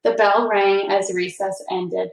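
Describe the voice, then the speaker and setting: A woman hosting a read-aloud for children, speaking in an encouraging, deliberate storytelling manner. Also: medium pitch, level tone, creaky. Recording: echoey, reverberant room.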